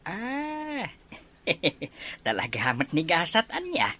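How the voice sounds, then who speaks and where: Babai nga agak-akto iti naparaw a lakay nga ama. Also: female voice, lowish voice, huge pitch swings, extremely slow, pushed and bright, slightly rough, exaggerated voice. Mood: inquisitive, amused. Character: elderly protective Filipino father, teasing and inquisitive. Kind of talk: Ilocano audio drama acting.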